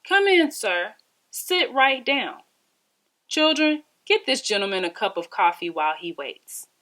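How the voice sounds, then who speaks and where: A woman's voice: a little high, big pitch swings, unhurried, bright, forceful tone, full character voice. A woman voicing a kindly, generous male shoe-shop owner in a storybook, polite and folksy. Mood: welcoming, courteous.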